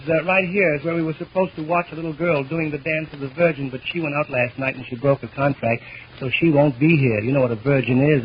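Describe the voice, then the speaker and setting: A man telling bawdy jokes in theatrical, mock-boastful patter as an old-time comedian on a vintage party record. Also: mid-range voice. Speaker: stand-up comedian. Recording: muffled, scratchy vintage 78rpm record.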